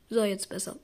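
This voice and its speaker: Hoarse, excitable young woman gamer. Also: very high-pitched. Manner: excitable, shrieks and groans at spin results; drops into a raspy near-whisper when speaking quietly. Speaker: gaming YouTuber. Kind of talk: casual gaming commentary.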